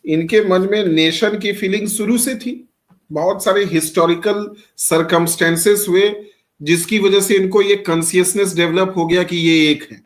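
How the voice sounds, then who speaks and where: A man's voice: high voice, steady tone, normal speed. A middle-aged man from Bihar teaching a class, switching between Hindi and English, asking rhetorical questions and calling on students by name. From Bihar, India. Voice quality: speaks Hindi with much English mixed in, quizzes students by name. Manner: asks rhetorical questions and answers them himself. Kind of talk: classroom lecture.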